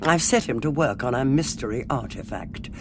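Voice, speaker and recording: An actress playing a brisk, haughty military cardinal in a science fiction audio drama. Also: female voice, rumbling low, animated, background noise. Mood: businesslike, resolute. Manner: commanding, clipped, haughty. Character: brisk, imperious female alien cardinal, military commander.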